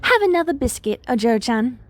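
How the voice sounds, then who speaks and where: Woman doing a cheery little animal headmaster guy, curious and polite, high pitched, audiobook. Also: big pitch swings, a little fast, dry recording. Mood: genial, curious. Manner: musing, genial, polite. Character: cheerful, curious, shrewd male animal school principal.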